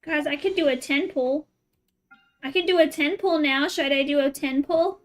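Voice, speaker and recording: High-pitched woman livestreaming a game. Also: normal speed, faint music behind. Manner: informal, thinking aloud, asking viewers questions. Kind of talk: casual livestream chat with viewers while playing.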